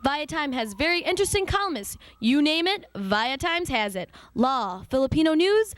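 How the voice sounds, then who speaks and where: A woman announcer reading an upbeat advertisement in a bright, sing-song promotional delivery. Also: high-pitched, very animated, normal speed, no echo.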